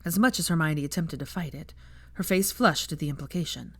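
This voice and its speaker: A woman's voice: low voice, brisk, breathy edge, no echo. A woman narrating a story from a script as an audiobook-style reader of fan fiction.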